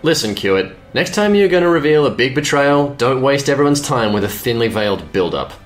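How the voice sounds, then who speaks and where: A man's voice: mid-range voice, big pitch swings, a little fast, faint music behind, close to an everyday voice. A man playing a wisecracking, sarcastic and impatient smuggler in a space audio drama. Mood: exasperated.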